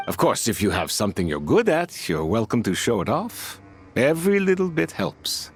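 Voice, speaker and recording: A man's voice: mid-range voice, sing-song, moderate pace. A folksy, fatherly desert leader. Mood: kindly, inviting. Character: fatherly desert band leader, gruff but kind, seasoned protector. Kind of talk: video game voice acting. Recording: studio voice over prominent music. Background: music.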